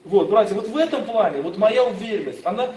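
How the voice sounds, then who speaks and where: A man preaching and answering questions from the brothers, talking in an animated way with many restarts and fillers. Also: sits high, fast talker. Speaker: preacher leading a Bible study. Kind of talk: informal sermon with questions and answers. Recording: echoey room, mic far off.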